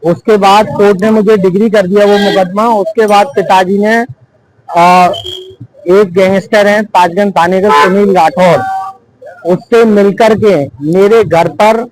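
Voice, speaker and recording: A man slowly recounting a complaint to a reporter, pausing with fillers. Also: high-pitched, steady tone, background noise. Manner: slow, deliberate, with pauses and fillers.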